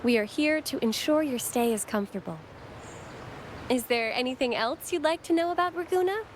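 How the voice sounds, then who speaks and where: A woman's voice: high-pitched, normal speed, a little hiss or hum, lightly acted. An actress playing a devout, courteous young female acolyte, speaking formally and reverently in scripted video game dialogue. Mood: polite, helpful. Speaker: temple acolyte guide. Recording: game music under the voice. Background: game background music.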